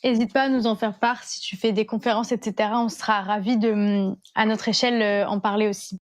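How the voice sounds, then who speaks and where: A woman's voice: mid-range voice, steady tone, brisk, no echo, clean studio sound. A young woman from the Paris region asking questions as an interviewer. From Paris region, France.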